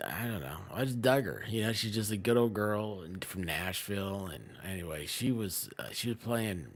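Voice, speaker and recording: Low-pitched man chatting casually on stream. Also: animated, moderate pace. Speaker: live streamer, co-host. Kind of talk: casual chat on a livestream.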